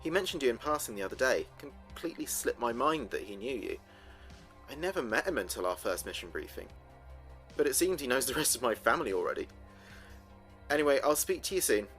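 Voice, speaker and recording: Earnest spaceship officer played by a man, lively intonation. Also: mid-range voice, brisk, soft background music. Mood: warm, chatty. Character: young-ish male space communications officer, earnest and measured. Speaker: communications officer keeping a mission log. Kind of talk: audio drama log narration.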